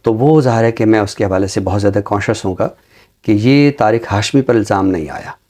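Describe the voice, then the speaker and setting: Mellow, low-voiced Pakistani man. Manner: formal, deliberate word choice. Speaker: talk show host, university teacher. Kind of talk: conversational literary commentary.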